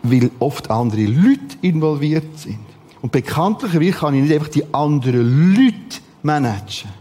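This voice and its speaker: Husky Swiss German man preaching, fairly high voice. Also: dark tone. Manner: dramatic, emphatic delivery with repeated short phrases. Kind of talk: sermon.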